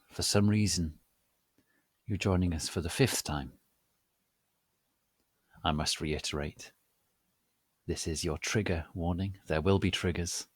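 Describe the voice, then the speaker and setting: A man hosting a dramatic reading, theatrical and mock-solemn, speaking deliberately with pauses. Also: deep voice, husky, dry recording. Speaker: host and reader.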